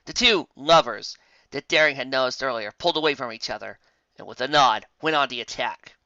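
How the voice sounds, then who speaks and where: An expressive narrator reading a scripted story aloud with dramatic delivery, on a lo-fi recording. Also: mid-range voice, fairly quick, dry recording. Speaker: fan fiction reader. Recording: dull lo-fi close recording.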